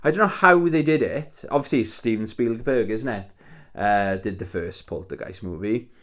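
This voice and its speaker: A man's voice: fairly low pitch, moderate pace, a bit bright. A man in his forties with a thick Welsh accent, chatting casually and rambling through his week in a personal vlog, with many fillers and restarts. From Wales. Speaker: YouTuber. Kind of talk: casual weekly roundup vlog.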